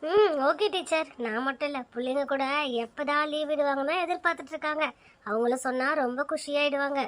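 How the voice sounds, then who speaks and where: A woman's voice: high-pitched, fairly quick, lightly acted. A woman playing a weary but agreeable junior schoolteacher in a school skit, chatty and prone to grumbling.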